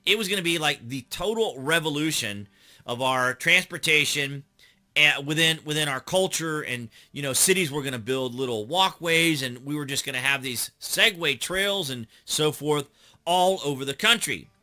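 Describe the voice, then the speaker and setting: A man's voice: mid-range voice, sharp tone. A middle-aged American man hosting a news commentary channel, reading and commenting in a conversational way that leans on key words.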